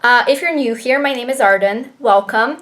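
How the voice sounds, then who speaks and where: A woman's voice: a little high, moderate pace, a bit bright. A young woman from Slovenia with a Slovenian accent, chatting to camera as a booktuber in a rambling, filler-heavy way. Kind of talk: casual chat to camera. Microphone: camera propped on desk.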